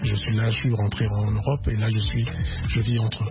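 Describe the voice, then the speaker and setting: A man from Kinshasa whose first language is Lingala, talking about his music career in a relaxed, halting radio interview with many repeated words. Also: on the low side, lo-fi sound. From Congo (Kinshasa). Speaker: musician guest.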